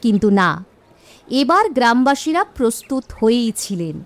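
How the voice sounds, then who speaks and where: Lively high-voiced woman narrating a story. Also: moderate pace.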